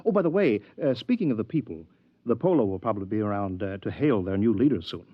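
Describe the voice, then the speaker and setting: An American actor in a 1950s radio drama playing a fussy, unctuous older adviser who wheedles and stammers pompously. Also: male voice, fairly low pitch, animated, fast, breathy edge. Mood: persuasive, pompous. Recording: dull vintage 1950s radio recording.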